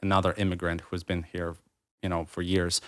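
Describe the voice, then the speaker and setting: A man's voice: sits low, monotone, fairly quick. A man in his thirties, originally from Ukraine with Russian as his first language, talking reflectively as a podcast guest, rambling with restarts and fillers. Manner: rambling, restarts mid-thought, many fillers. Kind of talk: reflective conversational interview.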